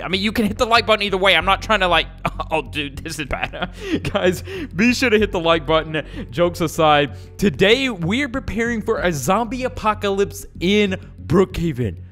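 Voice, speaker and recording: A man's voice: sits high, bright, forceful tone. A young man giving jokey, slangy, casual gameplay commentary as the host of a gaming video. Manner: jokey, slangy, calls viewers "guys" and "dude". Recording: music behind the voice. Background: music.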